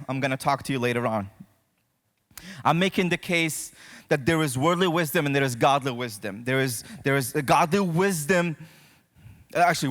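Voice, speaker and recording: A man's voice: fairly high pitch, a bit bright. A man from Morocco preaching a sermon to a congregation, talking to his listeners directly and conversationally. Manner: conversational, addresses listeners directly with check-in questions. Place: church auditorium, speaking to a congregation. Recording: reverberant hall sound.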